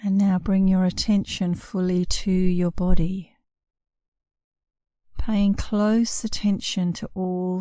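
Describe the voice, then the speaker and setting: A woman meditation instructor guiding a relaxation session in a soothing, unhurried way, leaving long pauses between short phrases. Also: on the low side, dark tone, no room sound, clean studio sound. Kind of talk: guided meditation, scripted narration.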